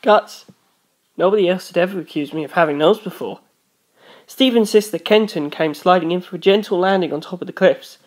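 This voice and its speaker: A woman's voice: lowish voice, fairly quick, darkish tone. A woman reading scripted first-person story narration as an audiobook narrator.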